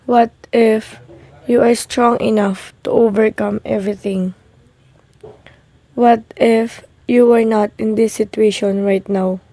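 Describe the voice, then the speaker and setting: A woman reading a scripted reflective monologue in a solemn, measured way for a radio drama. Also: medium pitch, mellow, no room sound.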